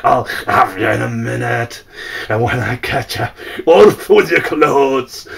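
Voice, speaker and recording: A man's voice: fairly low pitch, animated, takes it slowly, gravelly, distant mic, voice pushed down, heavily acted. A man playing a brutal, furious old sea captain who bellows threats. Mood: raging.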